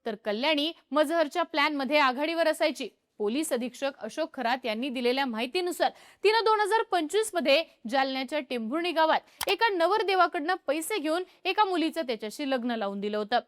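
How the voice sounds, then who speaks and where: A woman with a Marathi accent narrating a scripted news report in a steady, measured tone. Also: high-pitched. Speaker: news presenter.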